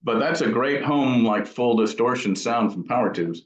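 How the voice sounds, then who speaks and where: A man talking casually as an interviewed amp builder, explaining technical details informally in a thoughtful, halting way. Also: mid-range voice. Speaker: amp builder and company founder being interviewed. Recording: dull lo-fi sound in an echoey room.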